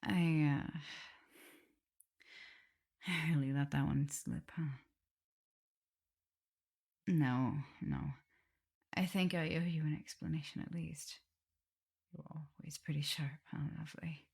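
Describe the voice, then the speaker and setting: A woman's voice: low-pitched, fairly even intonation, gravelly, slightly rough, full character voice. A woman playing a tender, nervous vampire mistress in an intimate ASMR roleplay, speaking hesitantly and often slipping toward a whisper. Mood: nervous, affectionate. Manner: hesitant, halting, breaking into whispers and soft laughs. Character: tender, nervous, guilt-ridden female vampire mistress in love.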